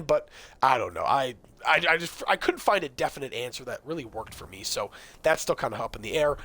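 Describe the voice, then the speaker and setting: A young man runs through game tips in a loose, talkative way, speaking to his viewers and filling gaps with ums and uhs. His voice sits a little high for a man and is going hoarse. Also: fairly bright tone. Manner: chatty, addresses viewers directly, frequent fillers. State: losing his voice. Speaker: gaming video host. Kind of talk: casual game-tips commentary.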